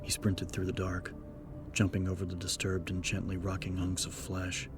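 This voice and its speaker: A man's voice: sits low, completely flat delivery, normal speed. A man reading a horror story as a dramatic scripted audiobook narration, with music playing behind him. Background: game-style background music.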